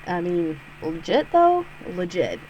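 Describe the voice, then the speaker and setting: A woman's voice: on the low side, big pitch swings, takes it slowly, mellow, dull audio, a little hiss or hum. A woman hosting a let's play, reading a visual novel aloud with sarcastic, giggly asides and free swearing. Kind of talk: casual, joking read-through with asides.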